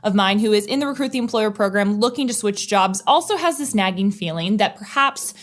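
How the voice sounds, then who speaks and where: A woman hosting a career coaching podcast, upbeat and enthusiastic, talking in quick bursts. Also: medium pitch, lively intonation.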